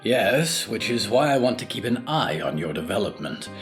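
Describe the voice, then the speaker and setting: An actor playing a gentle, cautious mentor in an audio drama, speaking in a measured, halting, courteous way. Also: male voice, on the low side, big pitch swings, soft background music. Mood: thoughtful, grave. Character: gentle, cautious confined mentor with odd scholarly musings. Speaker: teacher to a student.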